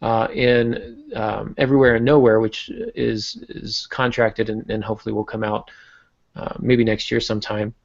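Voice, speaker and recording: A man with a creaky, gravelly voice teaches writing in an informal, conversational way. He leans on key words and asks rhetorical questions. The recording is dull and lo-fi. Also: fairly low pitch, little pitch movement, normal speed. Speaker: podcast host and writing teacher.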